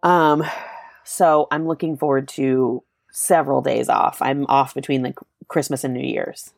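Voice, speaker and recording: A woman's voice: rumbling low, fairly even intonation, unhurried, vocal fry, a little mellow, no echo, studio quality. A woman co-hosting a podcast, chatting casually and rambling a bit with fillers. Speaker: podcast co-host. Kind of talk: casual chat.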